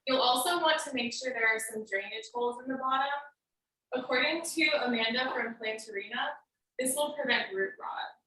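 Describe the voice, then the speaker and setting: A woman with a fairly high, bright voice gives an instructional speech. She explains step by step in a casual, student-presenter way. The sound is very echoey and a little distant from the microphone. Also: moderate pace. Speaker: student giving a demonstration speech. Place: indoor room on a recorded video meeting.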